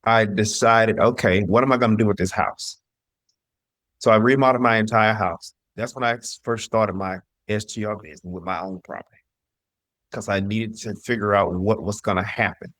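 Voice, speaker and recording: Low-voiced man telling his story. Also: fairly even intonation, moderate pace, fairly bright tone, dry recording. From southern US (Mississippi, North Carolina). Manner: emphatic, repeats phrases, acts out his own past thoughts. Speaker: interview guest, entrepreneur. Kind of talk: personal storytelling in an interview.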